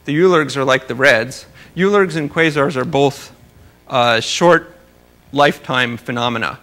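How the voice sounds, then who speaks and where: A man's voice: mid-range voice, a little slow, fairly bright tone. A man giving an informal research lecture, explaining technical material with careful hedges and wry, dry asides. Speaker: astronomer giving a research talk.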